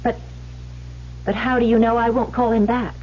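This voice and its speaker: Female actor for proud, defensive radio-drama heroine. Highish, expressive voice, muffled vintage broadcast with faint hiss. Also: moderate pace, natural voice. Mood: wary, scornful. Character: guarded, proud former actress, unhappily married, defiant. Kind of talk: old-time radio drama acting.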